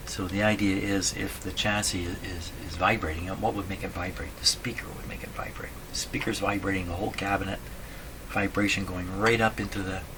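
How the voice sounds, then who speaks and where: A man thinking aloud in a casual, halting way as he explains a repair at his workbench, often putting questions to himself. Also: deep voice, fairly even intonation. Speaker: radio repair hobbyist, host. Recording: clearly noisy room recording.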